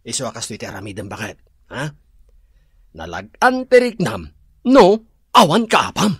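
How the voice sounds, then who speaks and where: An actor playing an earnest, coaxing husband in a radio drama, admonishing and pleading, with a Filipino accent. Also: male voice, medium pitch, huge pitch swings, moderate pace, slightly rough, no echo, clean studio sound, heavily acted. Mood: gentle admonishing.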